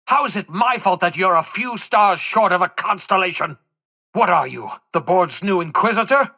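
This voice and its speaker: Raspy, theatrical older scientist. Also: male voice, high voice, expressive, normal speed, sharp tone, exaggerated voice. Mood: exasperated, furious. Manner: theatrical, emphatic, articulate phrasing. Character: eccentric older fugitive scientist, obsessive, theatrical, bitterly self-reproaching. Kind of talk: dramatic video game dialogue.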